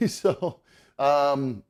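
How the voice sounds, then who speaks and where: A man hosting a live podcast, chatting casually with viewers. He is stuffed up and gravelly from a cold, and he rambles with lots of fillers and restarts. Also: fairly high pitch, expressive, very slow, a little mellow. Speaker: podcast host.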